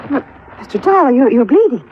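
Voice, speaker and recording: An actress playing a puzzled, solicitous young widow in a 1950s radio drama. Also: female voice, high voice, big pitch swings, fairly quick. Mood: surprised, concerned. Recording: muffled, noisy old radio recording.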